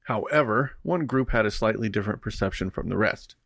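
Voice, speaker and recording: A man's voice: fairly low pitch, fairly quick, vocal fry, a bit bright, dry recording, studio quality. A man co-hosting a casual podcast, ranting bluntly and reading out poll figures. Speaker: podcast co-host. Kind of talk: casual chat.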